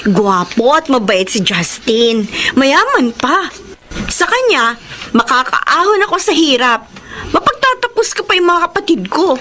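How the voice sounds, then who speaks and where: A woman's voice: high voice, big pitch swings, unhurried, pushed and bright, slight background noise, full character voice. A woman acting a hopeful, trusting young housemaid in a radio drama, with a Filipino accent and emotive delivery. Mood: apologetic, defensive.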